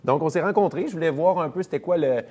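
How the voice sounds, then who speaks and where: A man in his thirties with a Quebec French accent, a comedian and actor chatting as an interview guest, relaxed and self-deprecating as he tells stories. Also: on the high side, quick pace. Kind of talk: casual interview chat.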